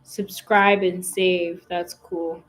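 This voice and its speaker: A woman's voice: on the low side, flat delivery, extremely slow. A woman hosting a casual livestream, chatting and reading viewer comments in a rambling way with many fillers. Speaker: livestream host.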